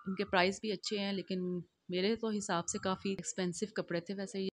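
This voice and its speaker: A woman's voice: medium pitch, fairly even intonation, fairly quick, no echo, clean studio sound. A middle-aged woman from Pakistan narrating her shopping vlog as a voice-over, in a chatty, homely way with many fillers. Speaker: vlogger.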